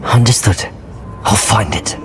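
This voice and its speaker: A man's voice: lowish voice, unhurried, close to an everyday voice. A man playing a brave, polite young wizard student in a video game, well-spoken and earnest. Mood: concerned, determined. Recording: music behind the voice.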